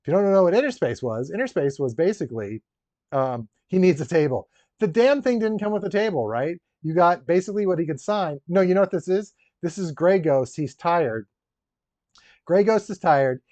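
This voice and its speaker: Guy on a toy collector stream, kinda higher voice, rambly and jokey, chatting w viewers, echoey room. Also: lively intonation, normal speed, warm tone. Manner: rambling, joking asides with dry teasing. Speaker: live stream host, toy collector unboxing a figure. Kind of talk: casual live-stream chat, reacting to viewers. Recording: roomy echo, dull lo-fi sound.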